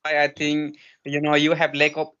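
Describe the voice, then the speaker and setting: A man's voice: fairly high pitch, monotone, normal speed, sharp tone, clean tone, dull audio. A man, lecturing earnestly in a casual practice conversation, with many restarts and fillers. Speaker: host giving advice.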